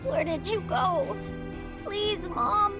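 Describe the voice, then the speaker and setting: A woman's voice: extremely high pitch, lively intonation, slow pace, exaggerated voice. A woman voicing a young, earnest child in a video game. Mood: worried, pleading. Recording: game dialogue under loud music. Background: loud game music.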